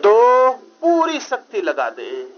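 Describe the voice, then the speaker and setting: A man's voice: very high voice, huge pitch swings, slow pace, soft background music. A man leading a live active meditation, urging the group on with short, repeated, urgent commands. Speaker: meditation leader. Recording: dull noisy live recording, loud crowd behind. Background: noisy crowd of participants.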